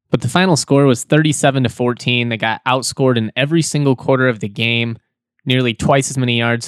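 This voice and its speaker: A man with a slightly hoarse voice hosting a casual sports podcast, talking loosely and repeating words as he goes. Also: mid-range voice, dry recording, clean studio sound. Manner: chatty, repeats words, laughs between points.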